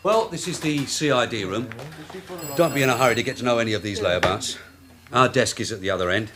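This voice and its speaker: A man's voice: medium pitch, brisk, crowd noise, natural voice. An actor with a British accent playing a wry, streetwise male detective sergeant in a radio drama, with a dry, sardonic way of talking. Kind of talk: 1980s radio drama acting.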